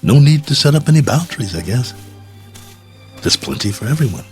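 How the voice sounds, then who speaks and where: An actor playing a stranded space traveler, weary but hopeful, halting and musing in a radio drama. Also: male voice, mid-range voice, very animated, darkish tone, faint music behind, natural voice. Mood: gentle, hopeful. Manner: halting, musing, talks to himself.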